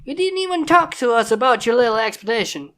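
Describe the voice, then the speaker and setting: A woman's voice: a little high, expressive, fast talker, a bit bright, voice pushed up. A woman reading a fan story aloud, voicing a stern country mare and big sister who talks in a firm, scolding way.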